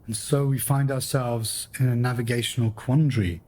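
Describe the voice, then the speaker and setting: A man's voice: mid-range voice, steady tone, normal speed, dark tone. A man narrating and hosting a radio play in a formal, theatrical storyteller's manner. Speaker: host and narrator. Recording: music behind the voice.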